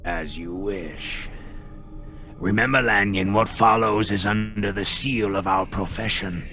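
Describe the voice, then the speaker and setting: A man's voice: on the low side, sharp tone, soft background music. A man playing a sinister, impatient, murderous alter ego in a gothic radio play, with a menacing, theatrical delivery. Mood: menacing, grandiose. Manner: menacing, theatrical, slow and deliberate. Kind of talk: gothic radio drama acting.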